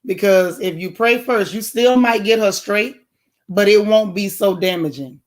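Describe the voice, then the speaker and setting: A woman with a heavy, loud voice that has bass in it, hoarse at the moment, talking directly and emphatically while she hosts a casual livestream and answers chat comments. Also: unhurried.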